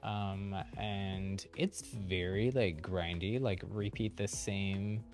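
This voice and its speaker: A man talking casually as he livestreams a game, thinking aloud in a halting, informal way. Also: low voice, steady tone, a little mellow, faint music behind. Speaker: livestreamer, channel host. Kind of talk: casual game stream commentary and chat.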